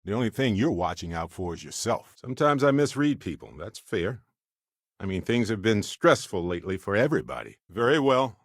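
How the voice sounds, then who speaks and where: An actor playing a wry, good-humored space explorer companion in a video game, talking in an expressive, conversational way. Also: male voice, lowish voice, brisk, no echo, studio quality. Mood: resolute. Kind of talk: video game character voice lines.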